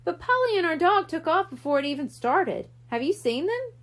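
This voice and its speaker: Lady doing an eager worried little kid, boy, really high voice, storybook style, bouncy pitch. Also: very animated, normal speed, a bit bright, full character voice. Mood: earnest. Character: eager, worried young boy looking for his lost pony.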